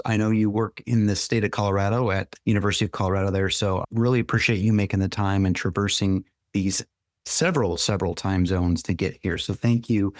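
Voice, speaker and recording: A man's voice: fairly low pitch, dry recording, clean studio sound. A man hosting an interview podcast, courteous and welcoming as he introduces and questions his guest.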